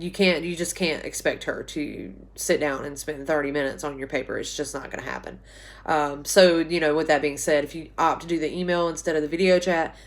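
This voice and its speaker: Woman in her twenties, low voice, slightly hoarse, rambling teacher recording a class update on her phone. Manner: chatty and rambling, many ums and restarts. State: slightly hoarse from a sore throat. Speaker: college writing instructor. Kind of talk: casual recorded class announcement. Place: small bedroom at home, indoors. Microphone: phone camera at arm's length.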